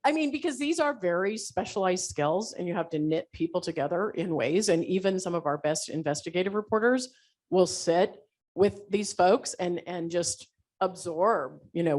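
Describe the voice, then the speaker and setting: Low-pitched woman panelist; animated, rambling, leans on fillers. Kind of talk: panel discussion.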